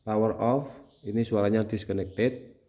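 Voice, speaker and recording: A man's voice: on the low side, steady tone, measured pace, dark tone. A man with a Javanese Indonesian accent, giving a casual how-to demonstration as a tutorial host. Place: indoors at home, small room.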